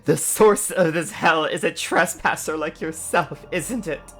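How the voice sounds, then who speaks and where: A woman plays a commanding poison mistress in an audio drama, grieving yet imperious. Her voice is very low, and she speaks slowly and deliberately. Faint music sits behind her. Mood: bitter fury. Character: fierce, commanding female vizier and poison master, grieving.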